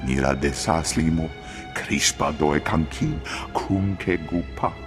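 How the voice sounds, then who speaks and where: A hugely deep alien male from a science-fiction game. He speaks an invented language slowly and deliberately, with clear music underneath. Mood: solemn.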